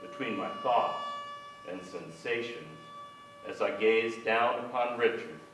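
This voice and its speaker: A man's voice: fairly low pitch, unhurried, a bit bright, distant mic, faint music behind. An older man playing a melancholy writer recalling a lonely childhood in a theatrical staged reading on a large stage. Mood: melancholy recollection. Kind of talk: theatrical literary narration. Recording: echoey theater stage, miked from afar.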